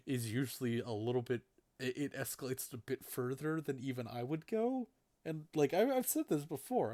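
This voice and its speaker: A man riffing through a game with a friend, blunt and full of swearing, often breaking into laughs. His voice sits at an ordinary middle pitch. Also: darkish tone. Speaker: host. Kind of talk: casual game commentary.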